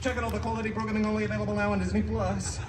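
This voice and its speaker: A man's voice: high voice, flat delivery, very fast, close to an everyday voice. A man playing a wisecracking, theatrical masked antihero who hosts a holiday storytime stage show, teasing and hamming it up with bursts of song. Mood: playful. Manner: teasing, hammy, breaks into singing. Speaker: storyteller and live show host. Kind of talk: live theme-park stage show patter. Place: live show venue with audience, recorded from afar. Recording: distant echoey crowd recording, noisy ambience.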